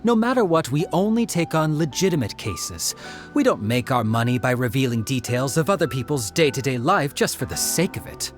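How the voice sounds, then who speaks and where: Man playing a courteous, highly animated detective. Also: fairly quick, natural voice. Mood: polite, candid. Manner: courteous, expressive, explanatory. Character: polite, talkative, principled male detective agency investigator. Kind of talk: video game voice acting. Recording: faint music behind the voice.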